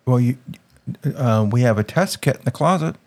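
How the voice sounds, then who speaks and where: A man chatting casually as a guest on his partner's podcast, teasing and insisting in a deadpan way. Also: medium pitch, normal speed. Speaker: podcast guest, the host's husband. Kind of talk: casual chat.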